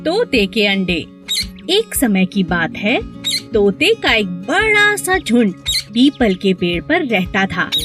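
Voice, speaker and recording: A woman narrating scripted moral stories for children. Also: fairly high pitch. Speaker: storyteller.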